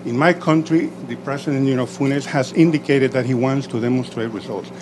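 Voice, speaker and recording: Middle-aged man from El Salvador, emphatic audience comment, faint room noise. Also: medium pitch. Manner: measured, emphatic, with frequent "you know" fillers. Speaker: audience member, executive director representing Central America. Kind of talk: panel discussion question from the floor. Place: conference room.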